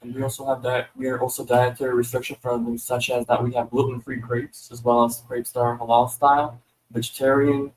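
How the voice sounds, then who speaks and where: A man's voice: mid-range voice, flat delivery, brisk, rough recording. A man from the restaurant team giving matter-of-fact notes about the menu. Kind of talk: casual chat.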